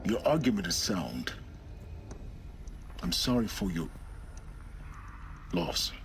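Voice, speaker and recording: An actor playing a coolly rational, calculating mentor in a video game drama, with a formal, unsentimental manner. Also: male voice, deep voice, sing-song, slow, fairly bright tone, slight background noise, exaggerated voice.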